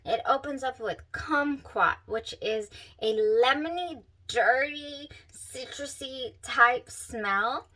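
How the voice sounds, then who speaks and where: A woman's voice: high voice, takes it slowly, hardly any pauses, pushed and bright, recorded from a distance, low-quality recording. A woman hosting a perfume review channel, talking casually to camera with a stuffed-up, nasal voice, gushing and sighing over scents.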